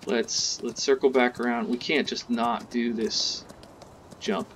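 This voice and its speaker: A man hosting a casual gameplay tutorial, chatting in a relaxed way as he plays, with game sounds faintly behind. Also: mid-range voice, slow pace, a little hiss or hum. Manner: relaxed, chatty, talks while playing. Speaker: gaming channel host.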